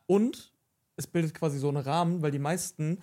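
A man in a podcast studio quietly explaining something in a matter-of-fact way, heard from off the microphone. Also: on the high side, brisk. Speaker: studio helper. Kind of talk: casual explanation. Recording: quiet voice from off-mic.

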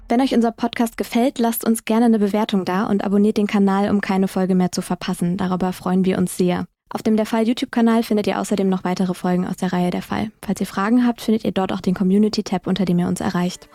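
A woman's voice: medium pitch, steady tone, quick pace, dark tone, no room sound, clean studio sound. A woman hosting a podcast, narrating true crime in a scripted style. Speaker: podcast host. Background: music.

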